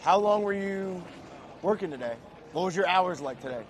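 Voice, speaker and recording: A man hosting a casual livestream, loud and hyped, talking to viewers and guests. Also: high voice, expressive, moderate pace. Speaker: host.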